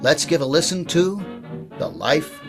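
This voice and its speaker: A man's voice: fairly high pitch, lively intonation, unhurried, music in the background. A man speaking as the host of an interview, putting questions and introducing the program. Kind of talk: interview hosting.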